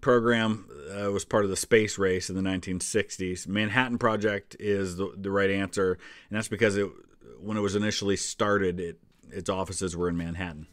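A man in his forties with a fairly low voice talks through history as a reacting video host. He is conversational and explanatory, putting weight on key answers. The recording is very dry, with no room sound. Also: steady tone, moderate pace, a bit bright, no echo. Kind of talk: casual explanatory reaction commentary.

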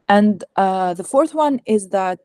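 A young woman whose first language is Algerian Arabic gives an academic research talk over a video call, pausing often with fillers and restarts. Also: medium pitch, animated, dark tone, clear, resonant tone, no room sound, clean studio sound. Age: young adult. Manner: hesitant, many fillers and restarts. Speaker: guest speaker presenting research. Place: home room, indoors. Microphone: video call webcam.

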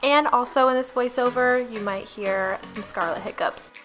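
A woman's voice: on the high side, moderate pace, loud music behind the voice. A young woman talking casually over her cleaning footage, chatty and upbeat, speaking straight to her viewers. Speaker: lifestyle vlogger.

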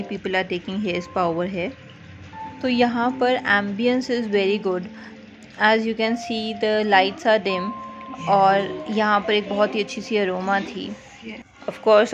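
A woman in her thirties giving a chatty, enthusiastic vlog voice-over, mixing in English phrases. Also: mid-range voice. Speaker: vlogger. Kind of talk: casual vlog narration, Hindi mixed with English.

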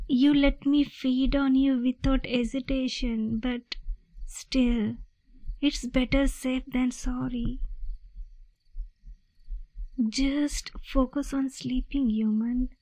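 A woman voicing a sweet, gentle vampire in a soft, hushed, reassuring ASMR roleplay, coaxing her human prey. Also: high voice, level tone, slow pace, no echo, rough recording. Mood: soothing.

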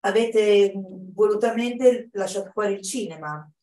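A woman speaks conversationally as a participant asking a question. Her pitch is average, and she sounds away from the microphone in a reverberant room. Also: unhurried, dark tone. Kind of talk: conversational interjection. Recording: roomy, distant microphone.

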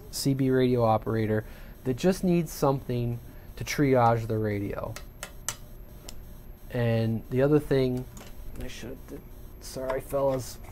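A man's voice: medium pitch, mellow, a little hiss or hum. A middle-aged man giving a casual, off-the-cuff hands-on tutorial at his home radio workbench, folksy and rambling. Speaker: CB radio channel host. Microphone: desk mic off camera.

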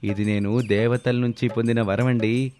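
A man's voice: mid-range voice, little pitch movement, normal speed, dark tone, close to an everyday voice. A man playing a boastful, persuasive swindler who poses as a heavenly weaver in a storybook tale. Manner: boastful, persuasive salesman talk. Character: smooth-talking male swindler posing as heavenly weaver. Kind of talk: scripted story dialogue. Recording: background music behind the voice.

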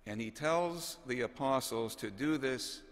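Male bishop, 60s, measured homily delivery, slow and deliberate, big reverberant cathedral sound. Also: medium pitch. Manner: measured, solemn, deliberate phrasing with pauses. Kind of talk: homily, read from notes. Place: large cathedral, at the pulpit. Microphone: gooseneck pulpit microphone.

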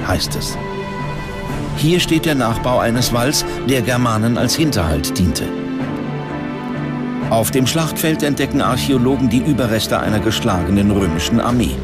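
A man delivers scripted documentary narration in a measured, dramatic way. His mid-pitched voice sits over very prominent music. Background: loud music.

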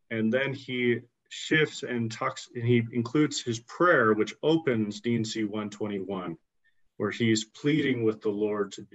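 A middle-aged man on a video call giving a measured explanation of history, pausing and restarting phrases. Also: medium pitch, fairly bright tone. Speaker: historian guest. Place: indoor office with bookshelves. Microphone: video call computer mic. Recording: dull lo-fi video call audio.

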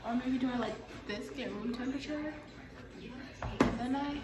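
A young woman talking casually to the camera while baking, unsure of herself and thinking out loud, in a home kitchen. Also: high voice, moderate pace. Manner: unsure, self-mocking, thinking aloud. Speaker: vlogger. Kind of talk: casual baking vlog narration. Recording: roomy kitchen echo with loud music. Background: pop music playing.

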